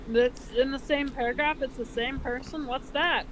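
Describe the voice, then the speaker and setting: A woman's voice: sits high, fairly even intonation, normal speed, a bit bright. A woman co-reading fan fiction, chatting casually with plenty of swearing and exasperation. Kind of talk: casual joking chat and story narration. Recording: clearly noisy home recording, unbalanced levels.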